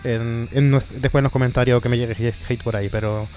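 Fast-talking Chilean man, joking. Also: mid-range voice, fairly even intonation, darkish tone, soft background music. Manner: joking, crude slang. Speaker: podcast co-host. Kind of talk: casual chat.

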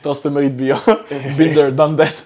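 A young man with a Swiss German accent, co-hosting a casual chat podcast, emphatic and quick to react and laugh. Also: on the high side, flat delivery, a little mellow, slightly rough. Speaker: podcast co-host.

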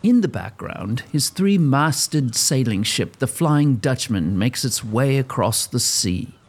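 Male narrator, medium pitch, highly varied intonation, dramatic scripted storytelling, audio drama. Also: big pitch swings, slow pace, slightly rough.

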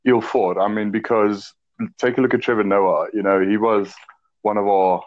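Low-voiced South African man chatting. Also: steady tone. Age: 20s. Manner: measured, chatty, slips in "uh" and "um". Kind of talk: casual chat. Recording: muffled low-fidelity audio.

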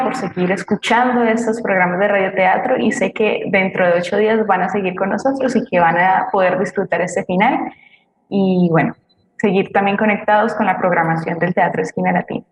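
A Colombian woman co-hosting a live programme, presenting and reading out audience messages. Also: medium pitch. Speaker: co-host reading audience comments. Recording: very echoey room, faint noise.

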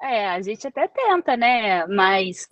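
A woman from Brazil with a Brazilian Portuguese accent, a researcher talking as a guest in a relaxed interview and explaining with everyday examples. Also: mid-range voice, slow, muffled recording, crowd noise. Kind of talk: casual interview conversation.

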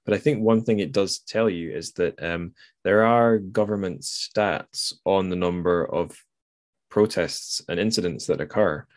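A man with a low voice hosts a casual literary discussion. He is tentative and hedges as he thinks aloud. The sound is dry, with very little room to it. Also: unhurried, no echo. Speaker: podcast host.

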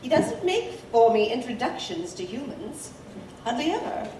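A woman playing an ancient, youthful-looking vampire wise woman in an audio drama, playfully sly, with formal, teasing, measured speech. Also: a little high, big pitch swings, dark tone. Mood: amused. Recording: roomy, distant, faint background noise.

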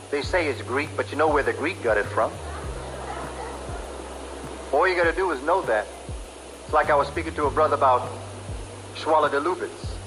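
A man's voice: on the high side, poor audio. A man giving a public lecture as a teacher, speaking emphatically and spelling out acronyms letter by letter.

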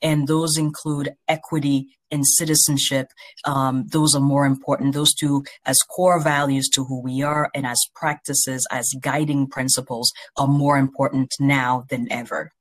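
A university president from Haiti giving thoughtful interview answers in formal academic phrasing, with a measured, earnest manner. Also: medium pitch, flat delivery.

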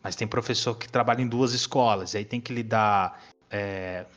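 A man's voice: on the low side, fairly even intonation, moderate pace, a bit bright, faint music behind. A Brazilian man aged twenty-nine chatting casually as a history teacher, explaining things informally with slang and some swearing. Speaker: history teacher and podcast guest. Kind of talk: casual conversation.

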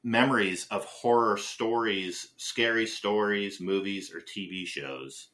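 A man's voice: fairly low pitch, slow pace, fairly bright tone. A man co-hosting a casual film podcast, rambling and chatty with lots of restarts and fillers. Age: older than his co-host. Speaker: podcast co-host.

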